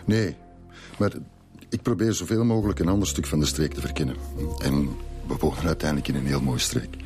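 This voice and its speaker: A man playing a shaken, middle-aged schoolteacher in a radio drama, speaking haltingly with a Flemish accent. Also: rumbling low, over music. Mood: polite. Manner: hesitant, halting. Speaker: actor playing a witness.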